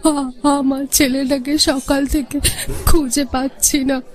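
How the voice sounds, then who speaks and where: Woman acting a high-voiced, distraught village mother. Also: level tone, takes it slowly. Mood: tearful pleading. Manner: pleading, anxious, urgent questioning. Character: distraught village mother searching for her missing son. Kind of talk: Bengali audio horror drama acting.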